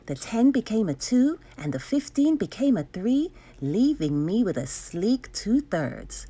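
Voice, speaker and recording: A woman reading a children's storybook aloud expressively, enunciating clearly with dramatic emphasis. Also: on the high side, big pitch swings, measured pace, dark tone. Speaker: storybook reader.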